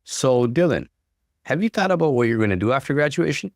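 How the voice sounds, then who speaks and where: A man voicing a stern, insistent father in a story, speaking with forceful authority. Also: medium pitch, lively intonation, fairly quick, no echo, clean studio sound, pitched above the performer's own voice, close to an everyday voice. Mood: casual, expectant. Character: stern, insistent father pressuring his grown son.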